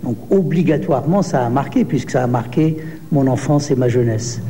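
A man in his seventies, born in Algeria, answering interview questions slowly with many hesitations. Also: medium pitch, dark tone, long reverb tail. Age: 70s. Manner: slow, hesitant, many pauses and fillers. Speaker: poet.

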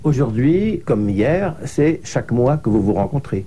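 Male interviewer with a dark voice. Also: medium pitch, big pitch swings, measured pace, husky. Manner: polite, leading questions, sums up guests' story. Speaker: interviewer, host. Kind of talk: broadcast interview questions.

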